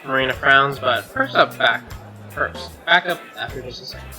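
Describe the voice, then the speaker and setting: A man's voice: medium pitch, normal speed, sharp tone, faint music behind. A man reading a visual novel aloud as narration, with offhand reactions of his own.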